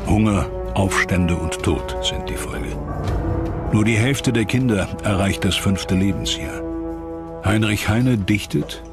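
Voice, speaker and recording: Deep-voiced male documentary narrator over loud music. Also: expressive, slow pace, creaky. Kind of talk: scripted historical documentary narration.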